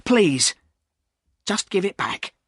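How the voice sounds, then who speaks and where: A frantic, desperate male weaver cries and pleads in a voice pitched well above the reader's own, as a man acts him out. His words stretch slowly with strain, and the speech sits dry and close to the mic in a storybook reading. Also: very animated, a bit bright, dry recording, exaggerated voice. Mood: frantic pleading. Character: frantic robbed middle-aged male weaver.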